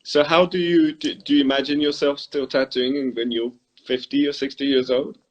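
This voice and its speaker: Man, middle-aged, casual interviewer, mid-range pitch, thoughtful, hesitant phrasing. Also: fairly even intonation, moderate pace, muffled recording. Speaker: interviewer, host.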